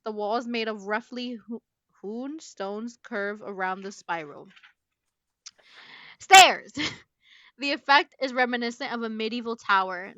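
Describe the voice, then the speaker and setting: A woman podcast host reading a novel aloud dramatically, with abrupt loud emphasis and casual asides. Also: mid-range voice, no echo, lo-fi sound. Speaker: book club podcast host.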